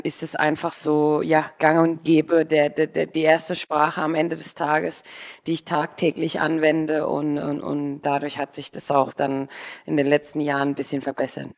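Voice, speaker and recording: A woman in her thirties from the Palatinate, a former footballer answering interview questions thoughtfully, often pausing to find her words. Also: deep voice. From Palatinate, Germany. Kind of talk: casual interview.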